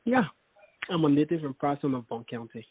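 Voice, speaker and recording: Guest: young man from Liberia, husky voice, earnest and halting, on a dry, tinny call line. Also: on the high side, animated, dry recording. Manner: earnest, hesitant, many fillers. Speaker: interview guest. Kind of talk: casual interview. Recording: dry, phone-like call audio.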